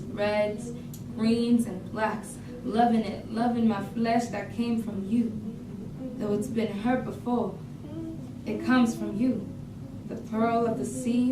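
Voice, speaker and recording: A woman performs a proud warrior daughter who loves herself fiercely. The delivery is incantatory spoken word in a slightly high voice, distant, with clear room noise. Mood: devoted, defiant. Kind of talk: staged reading, spoken-word monologue. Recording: distant, echoey, noisy room.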